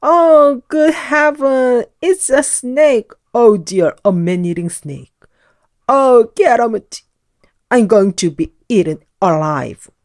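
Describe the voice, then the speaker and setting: A woman's voice: on the high side, expressive, slow pace, a little mellow, no room sound, studio quality. A woman voicing a tiny, jittery little man who panics and shrieks. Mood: panicked. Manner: shrieking, stammering, melodramatic.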